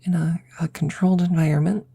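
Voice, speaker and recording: A woman playing a worried, tired scientist caring for her best friend in an intimate ASMR roleplay, breathy and often near a whisper, hesitant and reassuring. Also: on the low side, flat delivery, brisk, mellow. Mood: concerned, careful.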